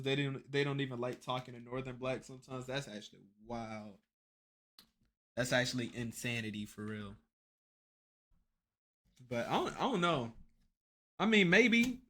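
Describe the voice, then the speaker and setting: Bright-voiced young man reacting casually. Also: mid-range voice, normal speed, pauses often. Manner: rambling, repeats words, swears casually. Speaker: streamer reacting to a video.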